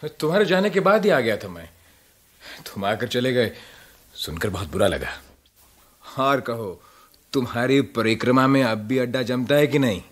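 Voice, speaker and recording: An actor in a radio play voicing a weary, troubled writer and husband, with an emotive, halting delivery. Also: male voice, medium pitch, animated, a bit bright. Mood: welcoming. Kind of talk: Hindi radio play acting.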